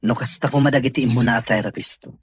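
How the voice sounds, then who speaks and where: Sulky wealthy male drama character played by a man, husky and lowish, grumbling, very close dry sound. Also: fairly even intonation, fast talker, dry recording. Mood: sulky, reluctant. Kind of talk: Ilocano radio drama acting.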